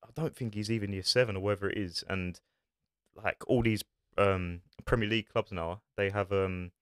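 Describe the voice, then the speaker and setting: A man's voice: lowish voice, fairly even intonation, normal speed, a bit bright, smooth, no room sound. A young man, a podcast guest, chatting casually and loosely about his past in a small studio, on a desk mic. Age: young adult. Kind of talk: casual chat. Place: small studio with acoustic foam panels. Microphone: desk-mounted podcast mic.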